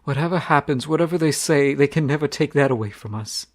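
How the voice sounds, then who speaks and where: A man voicing a shy young crown prince in a romantic audio roleplay, speaking softly and intimately, often near a whisper. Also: on the high side, fast, close to an everyday voice. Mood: hushed intimate.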